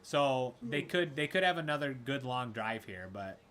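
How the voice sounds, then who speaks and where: A man doing casual live play-by-play of a football game, excitable, his voice raspy from screaming. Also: mid-range voice, moderate pace. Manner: excitable, shouts at big plays. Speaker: gaming streamer doing play-by-play. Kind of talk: casual live sports commentary.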